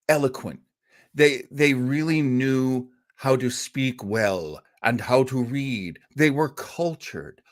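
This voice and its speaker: Male host, mid-pitched and very animated, informal storyteller with theatrical emphasis. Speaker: host presenting old-time radio shows.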